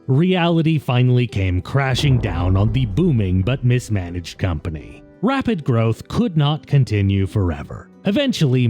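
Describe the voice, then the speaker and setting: A man's voice: mid-range voice, mellow. A man reading scripted documentary narration as the narrator of a video essay.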